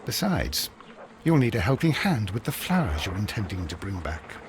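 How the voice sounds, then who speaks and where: An actor playing a courteous, easily exasperated Victorian English doctor and sidekick in a video game, with a proper, gently sardonic manner. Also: male voice, fairly low pitch, very animated, moderate pace, slight background noise. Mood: teasing.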